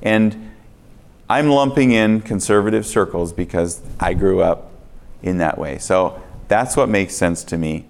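A man preaching in a church, earnest and swinging between quiet passages and emphatic ones. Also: low-pitched, measured pace. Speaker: pastor. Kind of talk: sermon. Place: church sanctuary.

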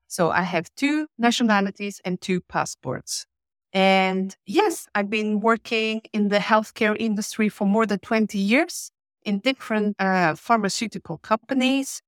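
A woman's voice: mid-range voice, dry recording. Woman speaking in a dry, close sound. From Switzerland and southern Italy. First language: Italian. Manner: explanatory, steady, frequent pauses with "uh". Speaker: guest, change and communication manager. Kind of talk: podcast interview.

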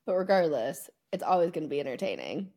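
A woman co-hosting a podcast, chatting casually about sports in an informal, slangy, excitable way. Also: deep voice, fast talker. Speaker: podcast co-host.

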